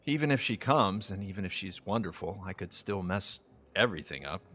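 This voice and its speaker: A man's voice: deep voice, lively intonation, brisk, bright, forceful tone, full character voice. An actor playing an earnest, quirky, self-doubting young man anxious about a date in an audio drama.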